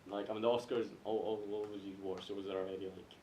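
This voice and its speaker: Casting: male podcast panelist, lowish voice, halting casual chat with swearing, recorded in a roomy space. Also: flat delivery, darkish tone. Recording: echoey room, faint noise.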